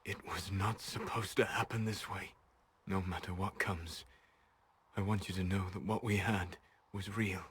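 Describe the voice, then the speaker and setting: A man playing a solemn, regretful elf mage in a video game, grave and tender, with a husky, breathy voice. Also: low-pitched, fairly even intonation, unhurried, fairly bright tone, exaggerated voice. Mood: sorrowful, tender. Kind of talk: video game cutscene voice acting.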